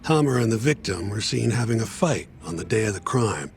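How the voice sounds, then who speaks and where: A man acting as a brisk, pragmatic, unsentimental defense lawyer in a video game, speaking bluntly and colloquially. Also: on the low side, expressive, creaky, faint music behind. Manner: blunt, colloquial, matter-of-fact. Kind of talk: English game dub dialogue.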